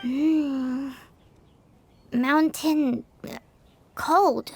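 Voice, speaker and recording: A woman voicing a small, excitable fairy girl companion in a video game, with a squeaky, childlike voice, blunt and chatty, talking about herself by name. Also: drawn-out words, a little hiss or hum, exaggerated voice. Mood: puzzled. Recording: game audio with loud music behind.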